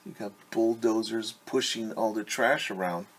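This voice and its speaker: A man's voice: lowish voice, moderate pace, husky, rough recording. A middle-aged man, a teacher, reading a picture book aloud to children and explaining things simply. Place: small room at home.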